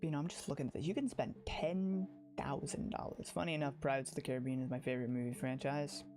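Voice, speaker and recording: A livestreamer talks over background music at a middling pitch. The delivery is casual and opinionated, circling back on phrases and restarting thoughts in a loose, rambling way. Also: steady tone, quick pace.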